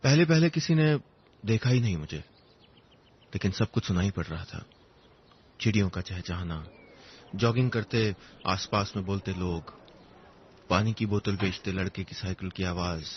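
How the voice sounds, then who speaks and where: A man narrating a scripted first-person story in a measured, reflective way, with short pausing phrases. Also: deep voice, a little hiss or hum. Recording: dull lo-fi audio under music. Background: instrumental music.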